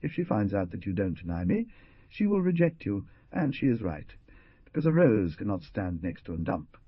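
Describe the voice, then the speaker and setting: A man's voice: lowish voice, very animated, moderate pace, husky, no room sound. A man voicing a desperate older peasant mother who pleads tearfully, in a dull lo-fi recording. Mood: pleading. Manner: tearful, imploring.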